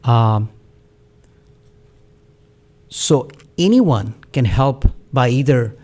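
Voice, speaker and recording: A man from Pakistan, a guest community activist, speaking in a measured, reflective way with frequent fillers in a studio talk show. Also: mid-range voice, expressive, a little mellow. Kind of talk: earnest talk-show conversation.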